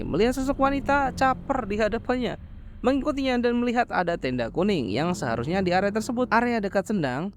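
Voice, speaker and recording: High-pitched, lively male recap narrator. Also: slight background noise. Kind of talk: scripted storytelling narration of a horror film plot.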